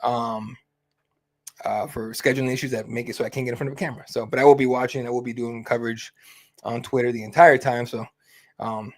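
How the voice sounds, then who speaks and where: A young man hosts a fight-night livestream, rambling loosely between topics. He swears freely, trails off into ums and uhs, and keeps an easygoing, offhand tone at a middling pitch. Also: steady tone, brisk. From Mexican heritage. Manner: rambling, profane, easygoing, many fillers. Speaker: host of a fight companion stream. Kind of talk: casual livestream chat.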